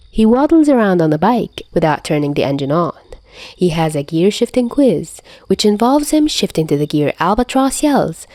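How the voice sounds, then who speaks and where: A woman's voice: fairly low pitch, dark tone, no room sound. A woman reading scripted fiction expressively as an amateur audio narrator on a close home microphone. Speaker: podfic reader.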